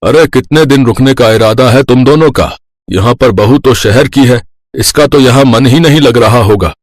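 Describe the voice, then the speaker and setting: A man's voice: mid-range voice, normal speed, dry recording, studio quality, natural voice. Man as a blunt older village father. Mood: blunt, questioning. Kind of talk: acted Hindi moral story dialogue.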